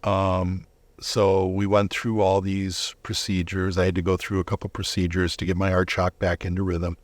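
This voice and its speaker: A middle-aged man giving a conversational personal testimony as an interview guest, reflective and halting, often rephrasing himself. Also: sits low, monotone, no room sound.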